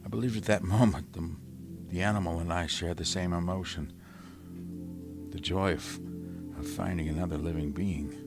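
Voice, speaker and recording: An actor playing an exhausted, scholarly survivor of a Martian invasion in a halting, grave radio drama style. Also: male voice, low-pitched, steady tone, over music. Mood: haunted wonder.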